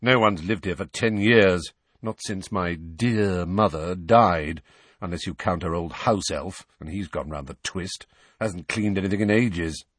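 A man's voice: low voice, a little slow, no room sound. A man voicing a restless, bitter godfather in a storybook, with a dry, sarcastic, complaining manner. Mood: gloomy, disdainful.

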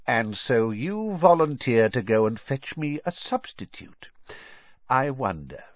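A man reading an audiobook and voicing a sickly, cold, menacing dark lord who talks in a hissing whisper. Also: mid-range voice, big pitch swings, slow, no echo, dull audio, voice pushed up.

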